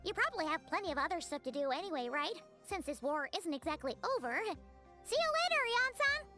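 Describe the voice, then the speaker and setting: A woman's voice: extremely high pitch, sing-song, quick pace, over music. A woman voicing a tiny, chatty, cheeky female fairy companion in a video game. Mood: teasing. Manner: chatty, teasing, scolding.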